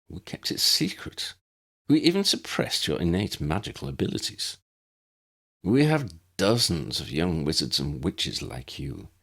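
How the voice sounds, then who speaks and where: A man's voice: low voice, creaky, pitched above the performer's own voice, full character voice. Man voicing a cold, sneering aristocratic villain. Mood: cold, disdainful. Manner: disdainful, smug, drawn-out delivery.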